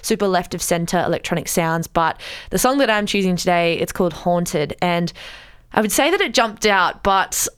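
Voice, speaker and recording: A woman's voice: fairly low pitch, lively intonation, normal speed, smooth. A woman co-hosting a radio podcast, chatting casually and enthusiastically about new music while a little blocked up with a cold. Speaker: podcast co-host. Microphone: studio microphone.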